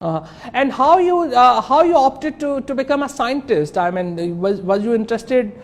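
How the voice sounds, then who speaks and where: A man hosting an interview, asking halting questions and slipping into Hindi-Urdu phrases. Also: very high voice. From Pakistan. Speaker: interview host.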